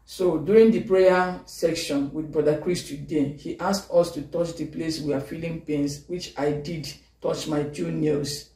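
A woman with a Nigerian accent giving an excited, grateful testimony at home, filmed on a phone from a few steps away. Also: sits low, measured pace, few pauses. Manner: excited and grateful, moving around while talking. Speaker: testimony giver. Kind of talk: video testimony. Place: bedroom at home. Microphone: phone camera from a few steps away. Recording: echoey room, distant phone mic.

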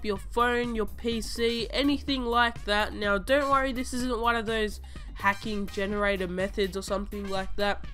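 Higher-voiced girl with a stuffy cold doing a chatty game tutorial voiceover, some quiet music under it. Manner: chatty, repeating instructions step by step. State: sick with a cold, stuffy-nosed. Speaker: gaming channel host. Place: home voice-over over gameplay footage.

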